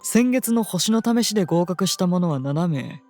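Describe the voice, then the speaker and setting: An actor playing a courteous, unnervingly calm guardian of a princess in a fantasy audio drama, speaking formally and precisely. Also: male voice, high-pitched, a little slow, faint music behind. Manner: formal, gentle, precise polite speech.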